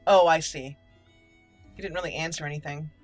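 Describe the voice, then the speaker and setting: A woman's voice: low voice, steady tone, a little fast, soft background music. A woman hosting a roleplay game playthrough, mixing commentary with theatrical, haughty in-character lines. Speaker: gaming host.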